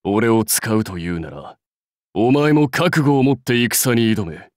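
An actor playing a proud, fierce warlord general in a mobile game, terse, rough and commanding. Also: male voice, lowish voice, expressive, measured pace, dry recording, clean studio sound. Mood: stern, confident. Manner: terse, rough, declamatory delivery. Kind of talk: anime-style mobile game battle and dialogue voice lines.

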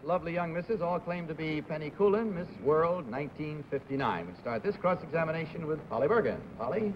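A man hosting a 1950s television game show, genial and brisk, heard in muffled old broadcast audio. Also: fairly high pitch, expressive, slight background noise. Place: TV studio.

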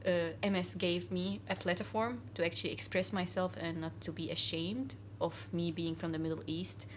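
A woman's voice: fairly low pitch, level tone, normal speed, slight background noise. A young woman from Syria, a guest in a casual interview, talking earnestly with many fillers and restarts in a cafe. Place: cafe, indoors.

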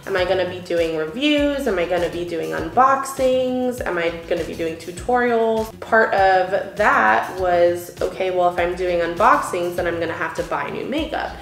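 A woman's voice: lowish voice, moderate pace, few pauses. Chatty young woman in an echoey room. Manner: chatty, filler-heavy, musing about worries. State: a little sniffly. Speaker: beauty vlogger. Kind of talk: casual get-ready-with-me chat. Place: indoor room with couch.

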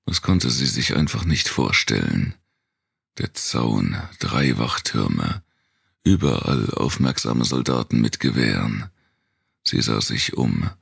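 Male narrator for dystopian audiobook: rock-bottom pitch, husky, dramatic scripted read. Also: expressive, slow pace, fairly bright tone, no room sound, clean studio sound.

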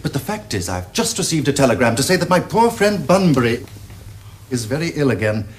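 An actor playing a suave, glibly polite young Victorian gentleman with an upper-class English accent and mannered delivery. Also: medium pitch, very animated, brisk, a little hiss or hum, lightly acted. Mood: feigned regret. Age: young adult.

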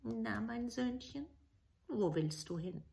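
A woman voicing a kindly, sly old woman in a fairy tale, in a hushed, knowing manner. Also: fairly high pitch, lively intonation, slow, vocal fry, heavily acted.

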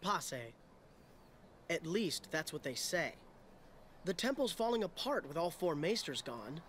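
Stern young temple guard. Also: male voice, fairly high pitch, big pitch swings, measured pace, fairly bright tone, a little hiss or hum. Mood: warning.

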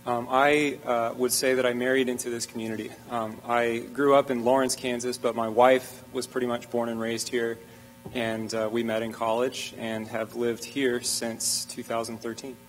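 Flat-voiced man on a panel. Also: mid-range voice, normal speed, big-room sound, a little hiss or hum, low-quality recording. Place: theater stage. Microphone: handheld mic.

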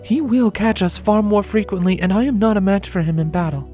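A woman voicing a weary, caring adult mare pony in a dramatised audiobook, speaking in a measured, earnest way. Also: mid-range voice, dark tone, faint music behind, natural voice. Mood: worried. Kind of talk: dramatised audiobook character acting.

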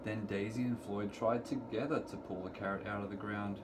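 A middle-aged man reading a children's picture book aloud to preschoolers in deliberate, sing-song storytelling phrasing, recorded in a room at home. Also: fairly low pitch, fairly even intonation. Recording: clearly noisy home recording, room ambience.

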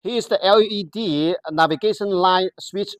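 A presenter gives a product walkthrough in careful, halting, non-native English, pausing often to find words. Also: medium pitch, no room sound. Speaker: product demonstrator.

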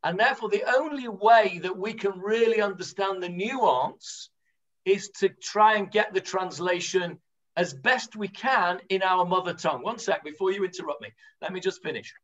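A man's voice: high-pitched, normal speed, fairly bright tone. Older man teaching a class on a video call. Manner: hesitant, many fillers and restarts, explanatory. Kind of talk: leading an online Talmud study class. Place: home room, on a video call. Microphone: computer mic on a video call. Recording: dull lo-fi video call audio.

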